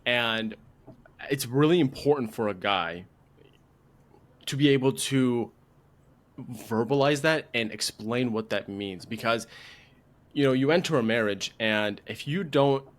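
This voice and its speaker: A man's voice: medium pitch, a little slow, pauses often. A man on a podcast panel explaining things casually and somewhat hesitantly, often repeating phrases.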